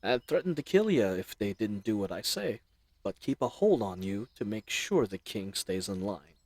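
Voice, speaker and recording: A man's voice: fairly low pitch, very animated, moderate pace, a little mellow. A man playing a chatty, roguish bandit leader in an audio roleplay, with folksy slang and teasing mock courtesy. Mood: chatty, matter-of-fact. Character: roguish, chatty, friendly male bandit leader, mockingly polite. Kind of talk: scripted audio roleplay addressed to the listener.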